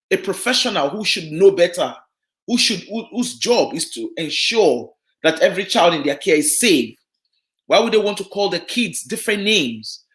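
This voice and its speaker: A woman from Nigeria with a Yoruba accent, giving an earnest, explanatory live broadcast talk on social work, full of fillers and restarts. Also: lowish voice. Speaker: social work guest speaker.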